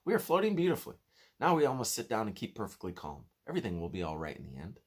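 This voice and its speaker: A man's voice: fairly low pitch, wildly swooping pitch, rapid-fire, husky, full character voice. A man voicing a courteous, composed old male grasshopper who speaks formally and reassuringly in a storybook.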